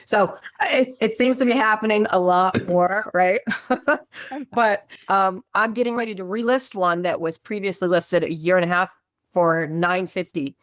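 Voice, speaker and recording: A woman real estate agent telling stories in a chatty, animated way over a video call, her voice low in the morning. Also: a little slow, no room sound. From Maryland, USA. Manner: chatty, animated storyteller, lots of fillers and asides. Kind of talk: casual meeting chat on a video call.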